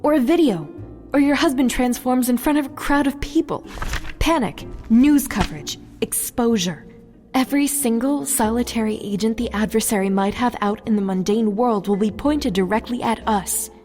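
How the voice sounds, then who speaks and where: An actress playing a stern deputy mayor in an audio drama, brisk, sarcastic and authoritative. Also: female voice, fairly high pitch, a little mellow, faint music behind, lightly acted. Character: stern, sardonic female deputy mayor, curt official.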